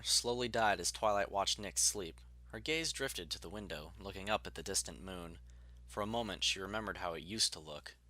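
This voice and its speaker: A man reading scripted story narration as an audiobook narrator, in a measured, articulate way. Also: deep voice, pushed and bright, dry recording.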